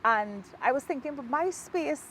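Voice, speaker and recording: A woman from Trinidad and Tobago with a Trinidadian accent, an author talking about her book in an outdoor interview in a park, reflective and quick to laugh. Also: high voice, lively intonation, a little hiss or hum. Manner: warm, reflective, laughs easily. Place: outdoors in a park, rain falling.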